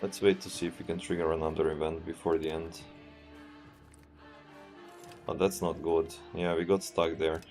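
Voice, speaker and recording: A man with a Serbian accent, a streamer thinking aloud as he plays a strategy game in a relaxed, halting way and answering chat. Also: deep voice, faint music behind. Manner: relaxed, thinking aloud, often trails off and restarts. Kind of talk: live gameplay commentary.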